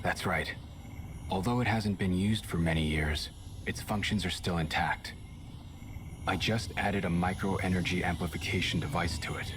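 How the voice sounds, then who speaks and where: An actor playing a reliable, modest male technician in a video game, speaking in a measured, polite, matter-of-fact way. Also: low voice, background noise, close to an everyday voice. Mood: calm, courteous. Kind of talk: scripted video game dialogue.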